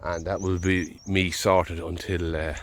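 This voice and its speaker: Deep-voiced Irish farmer with a head cold. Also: male voice, little pitch movement, a little slow, a little hiss or hum. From Ireland. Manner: easygoing, rambling, thinking aloud. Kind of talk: casual vlog narration. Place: outdoors in a field.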